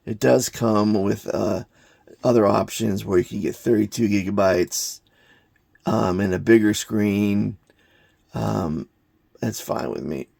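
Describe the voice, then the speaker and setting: A man giving an unscripted hands-on gadget review in a halting, plain-spoken, matter-of-fact way. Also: on the low side, creaky, a little mellow, dry recording, clean studio sound. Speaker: product reviewer, hobbyist maker.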